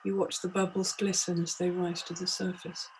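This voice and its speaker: A woman narrating a guided meditation from a script, in a deliberate, immersive and poetic way. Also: on the low side, level tone, darkish tone, a little hiss or hum, low-quality recording. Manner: deliberate, immersive second-person storytelling. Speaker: meditation guide, multimedia artist.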